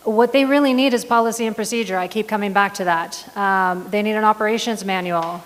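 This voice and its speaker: A woman running for county supervisor, answering in a debate in a firm, measured way with frequent ums. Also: mid-range voice, a little hiss or hum. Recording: long hall reverb.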